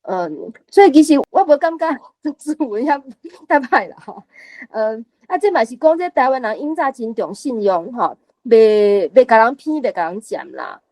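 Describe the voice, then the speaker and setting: A woman's voice: a little high, expressive, moderate pace, warm tone, no room sound. A woman guest sharing her thoughts on a story, punctuating her points with short tag particles. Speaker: reading group guest. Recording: faint music behind. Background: faint music.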